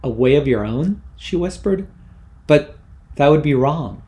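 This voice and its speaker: A man's voice: a little high, animated, a little slow, mellow. A man voicing a prim, haughty middle-aged mother who is devoted to the machine, speaking in a fussy, superior and indignant way.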